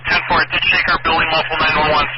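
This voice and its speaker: Higher-pitched male dispatcher in heavy static. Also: level tone, rapid-fire. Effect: two-way radio. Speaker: police dispatcher. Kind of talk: brief radio dispatch. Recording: very noisy, dull police scanner.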